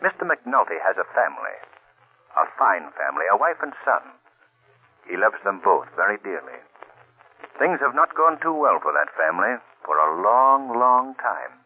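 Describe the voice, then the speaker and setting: A mysterious unseen avenger who speaks with calm, solemn authority. His voice is low and husky and rises and falls with drama. It sounds tinny and thin, like an old broadcast. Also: moderate pace. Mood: calm, commanding. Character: mysterious invisible male crime-fighter, calm and commanding. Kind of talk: 1940s radio acting. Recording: tinny lo-fi old radio recording.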